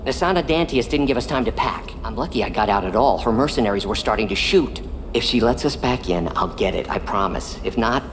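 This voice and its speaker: A man's voice: fairly low pitch, expressive, a little fast, in a large hall, slight background noise, lightly acted. An actor playing an anxious, apologetic man pleading his case in video game dialogue.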